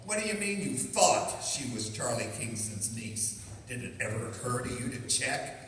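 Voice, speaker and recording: A man playing a gruff, sarcastic, exasperated police inspector in an old-time radio detective drama, full of bluster. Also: medium pitch, big pitch swings, normal speed, a bit bright. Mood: skeptical. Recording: echoey hall, distant, faint noise.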